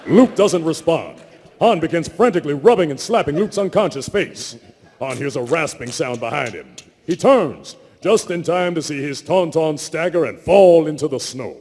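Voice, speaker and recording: A man narrates a script dramatically before a live hall, in a fairly high voice with lively swings of pitch. The sound is reverberant, with noise behind it. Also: moderate pace, slightly rough.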